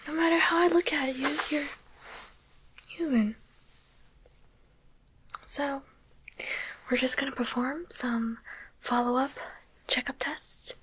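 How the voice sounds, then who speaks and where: A woman playing a softly teasing, curious demon doctor in a coaxing, deliberate whisper for an ASMR roleplay, close to the microphone. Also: sits high, fairly even intonation, slight background noise, rough recording.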